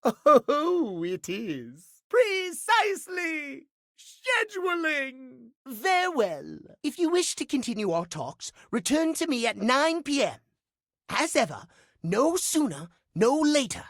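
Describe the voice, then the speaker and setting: A man's voice: extremely high pitch, sing-song, takes it slowly, a bit bright, no room sound, studio quality, heavily acted. A male actor voicing a fussy, romantic timepiece in a video game, with formal, theatrical, precise diction. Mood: panicked outburst. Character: fussy, prim, schedule-obsessed male timepiece, romantic dandy. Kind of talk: video game character acting.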